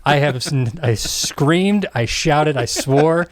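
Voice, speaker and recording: A man's voice: on the high side, animated, slow. A middle-aged man talking casually as a co-host on a panel podcast.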